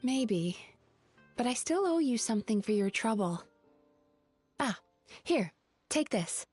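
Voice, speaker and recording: A woman's voice: on the high side, sing-song, slow, darkish tone, husky, soft background music, full character voice. A woman voicing a polite, earnest young female dream-eating spirit in a video game. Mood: grateful, friendly.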